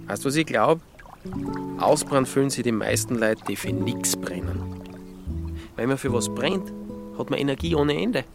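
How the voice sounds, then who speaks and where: A man's voice: mid-range voice, animated, normal speed, gravelly, pauses often. A man playing a blunt, hearty mountain countryman with an Austrian accent, talking in a folksy, plain-spoken way. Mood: blunt, sure. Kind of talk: audio drama dialogue. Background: music behind the voice.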